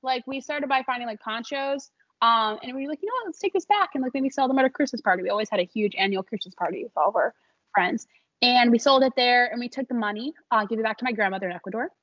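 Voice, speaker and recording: A young woman speaks as an interview guest in a relaxed, chatty way, her voice a touch high and breathy. She fills her talk with little laughs and fillers. The audio is dry and slightly muffled. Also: fast talker, no echo. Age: young adult. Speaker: guest, small business founder. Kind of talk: casual interview conversation.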